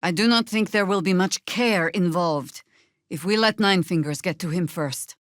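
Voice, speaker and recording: An actress playing a seasoned, wry middle-aged female adventurer in a video game, speaking in a measured, dramatic way. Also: on the low side, fairly bright tone, no echo, clean studio sound, natural voice. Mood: stern, earnest. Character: seasoned, wry female adventurer, battle-worn mother and druid. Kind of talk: video game character dialogue and spell calls.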